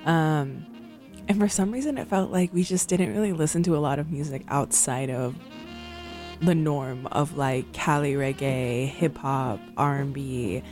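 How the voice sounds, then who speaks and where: Low-voiced chatty woman radio host. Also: measured pace, darkish tone. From San Francisco. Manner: chatty, rambling, many ums and likes. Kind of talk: casual radio chat between songs. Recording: faint music behind.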